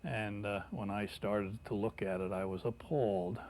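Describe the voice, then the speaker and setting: An older man being interviewed, recalling past events in a halting, deliberate way with frequent hesitations. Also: lowish voice, fairly even intonation, a little mellow, a little hiss or hum. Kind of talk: recollection in an interview.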